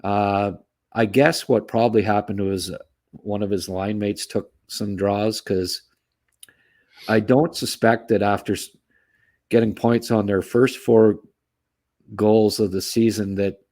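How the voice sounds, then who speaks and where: A man's voice: on the low side, fairly even intonation, takes it slowly, a little mellow. A man co-hosting a sports podcast, giving casual, chatty hockey analysis and often tagging remarks with "eh". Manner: chatty, tags remarks with "eh", chuckles at own asides.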